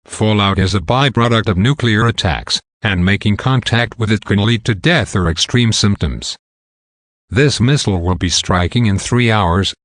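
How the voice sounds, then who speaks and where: Low-pitched man reading a government warning. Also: a bit bright, no echo, clean studio sound. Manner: formal, matter-of-fact official delivery. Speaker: emergency alert announcer. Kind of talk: scripted government warning message.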